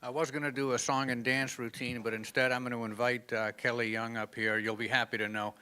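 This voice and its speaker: A man's voice: medium pitch, flat delivery, brisk, pushed and bright, a little hiss or hum. A man hosting a gathering, introducing the main speaker in an easygoing, joking way.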